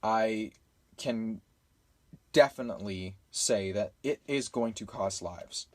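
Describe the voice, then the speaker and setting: A man's voice: on the low side, slow pace, no room sound. A man talking frankly and a little hesitantly in an unscripted YouTube vlog.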